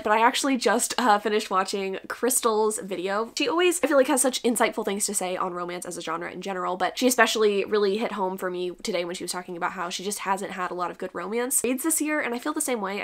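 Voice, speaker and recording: A young woman talks rapidly and candidly to her camera about a book, peppering her sentences with fillers. Her voice sounds a little congested, and she speaks from a home room at desk distance from the camera. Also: medium pitch. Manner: chatty, many "like" fillers, candid. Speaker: book vlogger. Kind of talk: casual talking-to-camera book review. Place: home room with bookshelves, then bedroom.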